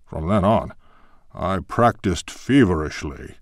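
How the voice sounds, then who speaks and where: Self-important senator, low voice, swooping intonation, game memoir reading. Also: male voice, animated, no room sound, clean studio sound. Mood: pompous, rueful. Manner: pompous, measured. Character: pompous old-time senator, wry, self-important memoirist.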